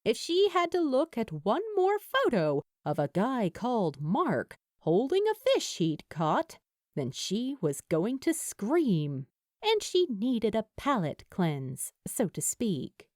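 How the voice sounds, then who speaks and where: A woman reading a story aloud as a narrator, in an expressive scripted audiobook style. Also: a little high, slow pace, no echo, clean studio sound. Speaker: fan fiction narrator.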